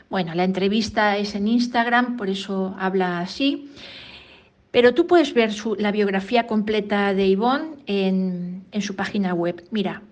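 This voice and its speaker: A woman with a medium-pitched voice introduces a podcast in an informal style. She talks straight to the listener, personal and a little hesitant. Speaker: podcast presenter.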